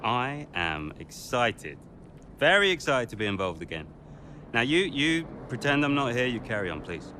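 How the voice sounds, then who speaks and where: A man's voice: medium pitch, very animated, normal speed, sharp tone, a little hiss or hum. A man playing a cocky, smug, charming brother in a video game cutscene, with a breezy, drawling manner. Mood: gleeful, taunting. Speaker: team liaison in a racing game story.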